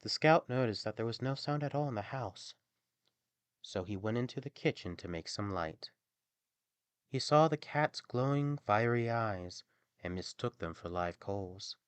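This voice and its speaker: A man narrating a scripted fairy tale as an expressive, theatrical bedtime storyteller. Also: on the low side, unhurried, clear, resonant tone, dry recording.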